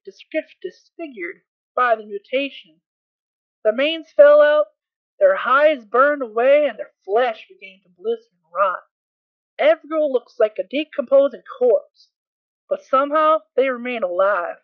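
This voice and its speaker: A woman's voice: high-pitched, animated, normal speed, no echo. A woman playing a concerned, caring unicorn mare in an amateur audio drama, earnest and hesitant as she explains. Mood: uneasy explaining. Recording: tinny, band-limited dry home recording.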